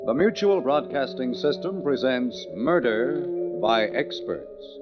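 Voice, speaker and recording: A man's voice: mid-range voice, expressive, slow pace. A man announcing a 1940s radio mystery show in a bold, formal, scripted style. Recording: muffled vintage radio recording. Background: loud music.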